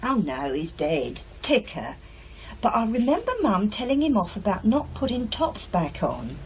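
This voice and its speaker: Blunt working-class English mum, lively pitch. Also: female voice, a little slow, a little hiss or hum, poor audio. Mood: chatty recounting. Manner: colloquial, blunt, nagging. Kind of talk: naturalistic radio drama acting.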